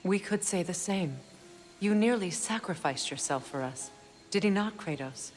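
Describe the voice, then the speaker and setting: Woman playing low-voiced regal warrior goddess. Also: animated, a little hiss or hum, lightly acted. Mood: grave, caring. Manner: measured, formal, sincere. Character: regal warrior goddess, compassionate and composed leader. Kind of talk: dramatic video game acting.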